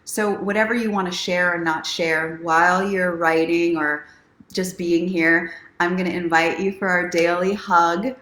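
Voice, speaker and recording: A woman's voice: on the low side, steady tone, measured pace, fairly bright tone. A woman hosting a casual livestream, encouraging and speaking straight to her viewers like a self-help coach. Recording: roomy, echoing sound.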